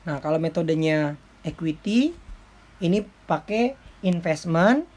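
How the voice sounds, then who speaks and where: A woman with a slightly low voice speaks as a lecturer. She explains things informally and step by step, often adding little check-in tags as she goes. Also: expressive, measured pace, a little mellow. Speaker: accounting lecturer.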